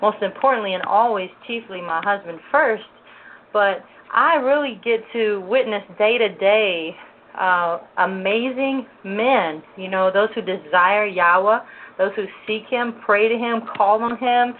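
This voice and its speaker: A woman's voice: medium pitch, measured pace. A woman hosting a religious talk show for women, greeting listeners and giving prayerful thanks with loose fillers. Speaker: host. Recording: noisy, tinny phone-line audio.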